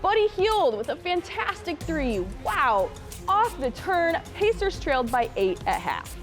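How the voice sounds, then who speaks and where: Young female sportscaster, high voice, scripted highlight reads, light music underneath. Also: a little slow. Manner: punchy, excited calls on big plays, wry asides. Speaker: sports anchor.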